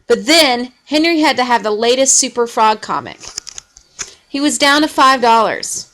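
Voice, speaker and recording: A woman reading a children's story aloud in an expressive way. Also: on the high side, moderate pace. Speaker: storybook reader.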